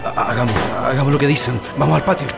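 A man playing an earnest political prisoner in a radio drama, with a Chilean accent and halting speech. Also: medium pitch, fairly even intonation, creaky, over loud music, natural voice. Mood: urgent. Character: earnest male political prisoner plotting an escape.

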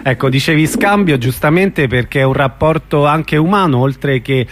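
A man hosting a conversational radio interview, halting and rephrasing as he goes. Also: on the high side, background noise. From Rome, Italy.

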